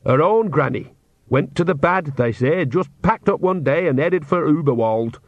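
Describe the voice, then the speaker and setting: A man's voice: a little high, lively intonation, normal speed, no echo, highs cut off, pitched above the performer's own voice. A man voicing an earthy old country witch woman, folksy, forthright and gossipy in manner.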